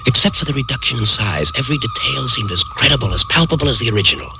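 An actor playing a curious, insistent man narrating his own eerie mystery in a deliberate, expressive radio drama style. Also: mid-range voice, vocal fry, noisy background. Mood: suspenseful, absorbed. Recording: radio drama with music behind.

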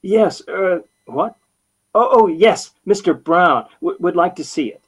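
Flustered, stammering customer, highish voice. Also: male voice, lively intonation, a little slow, slightly rough, exaggerated voice. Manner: stammering, hesitant, sheepish. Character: flustered, bumbling male customer bluffing as big-game hunter. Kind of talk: old-time radio comedy acting.

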